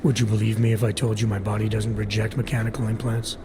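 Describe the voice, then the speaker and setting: An actor playing a gruff, guarded augmented agent in a video game, terse and deadpan with a gravelly voice. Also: male voice, on the low side, fast, noisy background, lightly acted. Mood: skeptical, dry. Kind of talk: video game cutscene acting.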